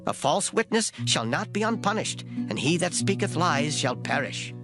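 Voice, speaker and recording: A man giving a dramatized Bible reading in a solemn, measured, declamatory manner. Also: on the high side, very animated. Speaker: scripture reader. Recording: plucked guitar music behind voice. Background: plucked string music.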